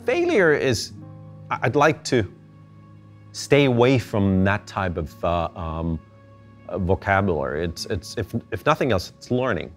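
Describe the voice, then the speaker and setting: Man with a low, measured voice. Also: very animated, soft background music. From Finland. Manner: thoughtful, halting, many restarts. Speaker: interview guest. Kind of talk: reflective interview answers. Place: indoor gallery space.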